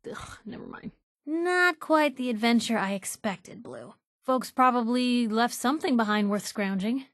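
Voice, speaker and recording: A woman's voice: a little high, no room sound. A woman voicing a wry, curious young female reporter and travel companion in a video game, talking in casual, dry banter. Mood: dry, joking, then curious, musing.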